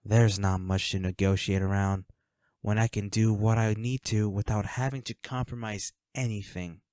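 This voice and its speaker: A man's voice: lowish voice, steady tone, moderate pace, darkish tone, clear, resonant tone, no room sound, studio quality, lightly acted. A man playing a flirty, smug villain henchman in a roleplay audio drama, taunting a captive in a teasing, coolly controlling manner. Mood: smug, bargaining.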